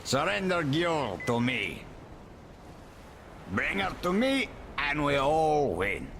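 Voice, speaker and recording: Gravelly, slow-talking warlord baron. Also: male voice, a little high, wildly swooping pitch, a bit bright, slight background noise, full character voice. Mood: veiled threat. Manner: deliberate, courteous, pompous, philosophizing. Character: suave menacing warlord baron, composed and philosophical. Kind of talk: dramatic video game cutscene acting.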